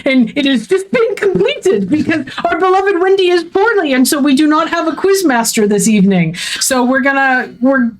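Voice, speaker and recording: A woman's voice: high voice, low-quality recording. A woman chatting casually as a livestream co-host, with a wry, rambling, informal manner.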